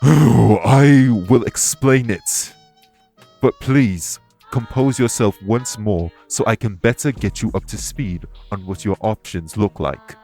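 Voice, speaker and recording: Guy acting as a grave immortal elf king, deep voice, polite n formal, audio roleplay w music under it. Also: warm tone. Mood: urgent, exasperated. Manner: formal, measured, stately wording. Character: solemn, courteous immortal elven former king, remorseful.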